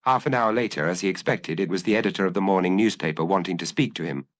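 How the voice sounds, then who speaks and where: A man's voice: low-pitched, fast talker, no room sound. A man reading a detective story aloud as a scripted audiobook narration.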